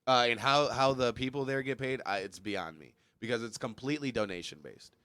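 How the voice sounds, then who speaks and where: Congested young man, crisp bright voice. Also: mid-range voice, a little fast, dry recording. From Michigan. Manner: joking, rambling, laughs often. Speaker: podcast guest, stand-up comic. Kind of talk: casual podcast chat.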